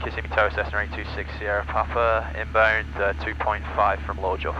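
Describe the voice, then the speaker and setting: A man's voice: on the low side, flat delivery, brisk, dull audio. A man flying a small plane, giving methodical approach briefings and clipped radio calls over a headset. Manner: methodical, procedural, clipped aviation phrasing. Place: cockpit of a small plane in flight. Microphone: aviation headset. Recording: loud aircraft cabin noise through headset intercom.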